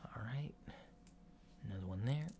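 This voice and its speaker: A man playing a casual, reassuring alien doctor in a soft ASMR roleplay, speaking in a mild, hesitant, matter-of-fact way. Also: lowish voice, expressive, normal speed, lightly acted. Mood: quiet, focused. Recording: lo-fi with clear background noise.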